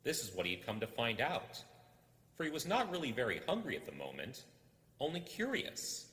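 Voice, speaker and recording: A man reading a story as dramatic, suspenseful narration, theatrical and full of deliberate pauses. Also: medium pitch, huge pitch swings, a bit bright. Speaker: storybook reader. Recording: echoey, long reverb.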